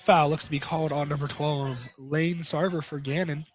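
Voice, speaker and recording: A man's voice: on the high side, fairly even intonation, moderate pace. A man giving live radio play-by-play of a basketball game, with casual, opinionated asides. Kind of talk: live radio sports commentary. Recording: noisy live gym broadcast.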